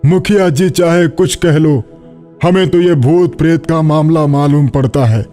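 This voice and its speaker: A man's voice: fairly high pitch, dark tone, lightly acted. A man playing an earnest, superstitious village elder in his eighties for a cartoon horror story. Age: 80s. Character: superstitious eighty-year-old village elder, alarmed believer in ghosts. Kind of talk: Hindi animated horror story acting.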